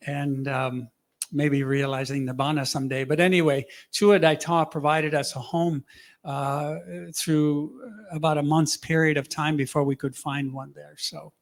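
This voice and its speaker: A man speaking to an audience in a casual, halting way, full of fillers and restarts. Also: fairly high pitch, level tone. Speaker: speaker on a panel.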